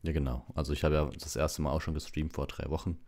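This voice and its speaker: Very deep-voiced young man, relaxed. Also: fast. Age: young adult. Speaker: audiobook reader and livestreamer. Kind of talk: relaxed bedtime reading and casual chat. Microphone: ordinary plugged-in microphone.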